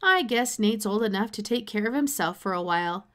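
A woman's voice: medium pitch, lively intonation, brisk, natural voice. Woman as an easygoing, practical country dad. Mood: considering.

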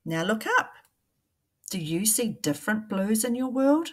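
A woman, a primary teacher reading a picture book aloud to young children in a deliberate, questioning way. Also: mid-range voice, animated.

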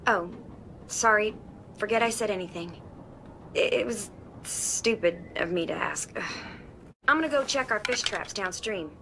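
A woman's voice: medium pitch, measured pace, creaky, long pauses, noisy background. A woman playing an anxious young survivor in a game drama, speaking hesitantly and apologetically. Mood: embarrassed. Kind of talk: naturalistic video game drama acting.

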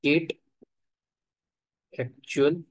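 A man teaching software testing in a screen-recorded coding tutorial, talking haltingly and thinking aloud while he types, with frequent fillers. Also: a little high, fairly even intonation, no room sound.

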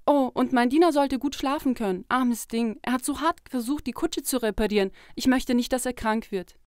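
A woman plays a young cartoon princess with a high voice. She is polite and tender in a courteous storybook way. The sound is dry, without room echo. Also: moderate pace, natural voice. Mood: grateful, concerned. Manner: courteous, gentle. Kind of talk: fairy tale cartoon dialogue.

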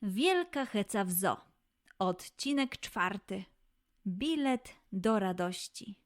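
A woman narrating a scripted fairy tale for children in an expressive, deliberate manner. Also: medium pitch, no room sound, studio quality. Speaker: children's storyteller.

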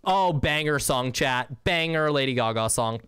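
A man's voice: mid-range voice, a little slow, a bit bright, clean tone, no room sound, studio quality. A young man casually chatting with viewers while playing a game, slangy and easygoing, with the odd swear. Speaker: streamer. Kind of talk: casual game livestream, reading chat.

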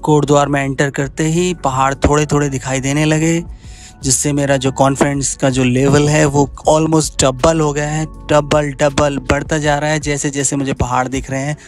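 A man in his thirties, chatty and excited, vlogging outdoors on a mountain road into a phone held at arm's length. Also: on the high side, moderate pace, faint music behind. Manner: chatty, excited about the scenery, sings his own song. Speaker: travel vlogger. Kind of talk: casual travel vlog narration.

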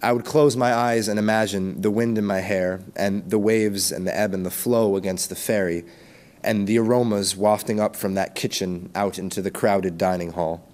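A man's voice: on the low side, steady tone, normal speed, a little mellow. A teenage boy reciting a narrative poem as a student at a podium microphone. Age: teens. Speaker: student poet. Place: school stage at a podium. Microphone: gooseneck podium microphone.

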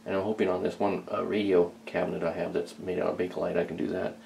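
Male hobbyist, low creaky voice, hesitant and casual workshop talk, noticeable background noise. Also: little pitch movement, quick pace, a little mellow. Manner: hesitant, thinking aloud. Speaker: hobbyist restorer, video host. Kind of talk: casual hands-on workshop chat. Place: small indoor home workshop.

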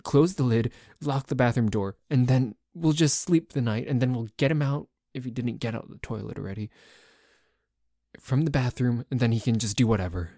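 A man's voice: mid-range voice, mellow, dry recording, studio quality, close to an everyday voice. A man playing a dorky, jealous boyfriend in an ASMR roleplay, complaining in a stammering, exasperated way that sometimes drops toward a whisper. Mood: exasperated, pleading. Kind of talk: ASMR roleplay audio drama.

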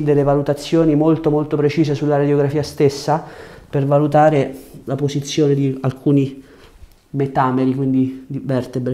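Mellow young man giving chiropractic instructions. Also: a little high. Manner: reassuring, instructive, short directions. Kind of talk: hands-on treatment talk, explaining to patient and viewers. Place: small treatment room, indoors. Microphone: lapel mic.

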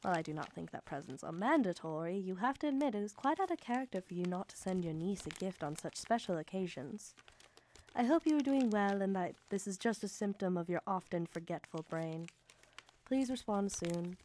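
A woman's voice: on the low side, lively intonation, normal speed, darkish tone, a little hiss or hum, lightly acted. An actress playing a devoted young Victorian mother, reading letters to her brother in an earnest, emotive way for a radio drama. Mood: gently worried.

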